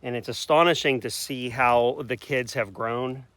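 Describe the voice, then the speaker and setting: A man's voice: medium pitch, steady tone, unhurried, fairly bright tone, low-quality recording. A man, hosting a casual rural vlog and rambling in a folksy way with self-corrections. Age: 40s. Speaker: channel host. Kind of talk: casual outdoor vlog chat.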